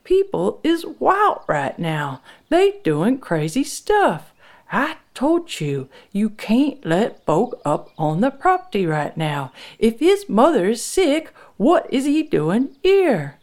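Woman acting a loud, barking ex-military husband. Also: low voice, sing-song, takes it slowly, few pauses, exaggerated voice. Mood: angry warning.

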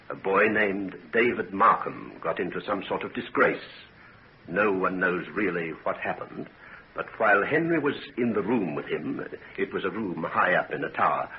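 A grave, suspicious father of middle age in a 1940s radio serial. His low voice swings widely as he issues solemn, deliberate warnings. The old recording is dull, with faint noise. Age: middle-aged. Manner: earnest, solemn, deliberate delivery. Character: grave, suspicious, protective father of a grown daughter. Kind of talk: 1940s radio drama acting.

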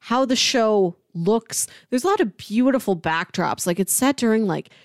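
A woman co-hosting a podcast, giving opinions on a show in casual, animated chat peppered with "like". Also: on the high side, moderate pace, no echo, clean studio sound. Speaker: podcast co-host reviewing a TV drama.

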